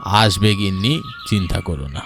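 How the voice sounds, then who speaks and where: Man playing a deep-voiced, doting village landlord. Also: animated, normal speed, gravelly. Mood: reassuring. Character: proud, doting middle-aged male landlord, later remorseful. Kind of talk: Bengali animated folk tale dialogue. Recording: music behind the voice.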